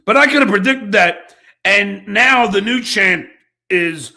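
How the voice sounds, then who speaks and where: A man's voice: high voice, a little slow, vocal fry, sharp tone. A man hosting a casual talk show, rambling and ranting with lots of swearing and repetition. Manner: foul-mouthed, rambling, repeats himself. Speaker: show host.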